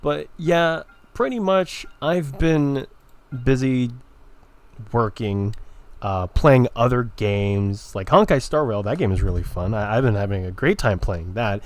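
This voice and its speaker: A man gives a casual intro to a gaming video in a mid-pitched voice. He rambles in an offhand, hesitant way, as a creator chatting to viewers. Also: measured pace, no room sound.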